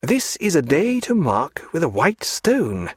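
A man voicing an excitable, learned birdwatcher aboard a sailing ship in an audiobook, with formal, old-fashioned, exclamatory speech. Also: on the high side, unhurried, husky, no echo, clean studio sound, pitched above the performer's own voice, heavily acted. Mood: delighted. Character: excitable, learned ship's man, keen birdwatcher, easily outraged.

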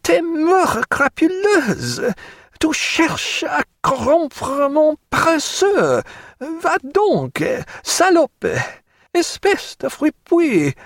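A man's voice: a little high, big pitch swings, normal speed, slightly rough, no room sound, clean studio sound, voice pushed up, full character voice. A man voicing an irascible, scolding naval surgeon and naturalist in an audiobook sea story. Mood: outraged. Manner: crying out indignantly, scolding.

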